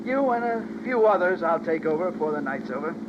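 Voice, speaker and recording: An American actor playing a cocky, menacing armed robber who barks orders in gangster slang, in a 1950s radio crime drama. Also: male voice, fairly high pitch, animated, fairly quick, natural voice. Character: menacing armed stick-up man, cocky and gloating. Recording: noisy muffled vintage radio recording.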